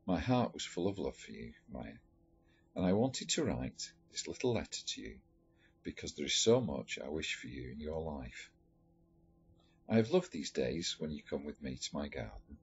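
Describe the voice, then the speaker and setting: A man reading a letter story aloud in a measured, expressive storytelling manner. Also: very deep voice, creaky. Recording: dull lo-fi recording with music behind. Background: background music.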